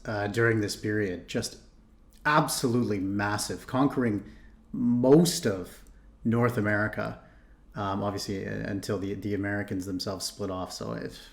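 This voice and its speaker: A man from Ontario hosting a reaction video, chatting casually and thinking aloud with frequent restarts. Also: lowish voice. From Canada (Ontario). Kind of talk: casual commentary.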